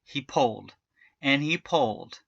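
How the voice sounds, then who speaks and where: A man reading a children's story aloud in an animated way, stretching out key words for emphasis. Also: mid-range voice, measured pace, gravelly, no echo, muffled recording. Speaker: storybook reader.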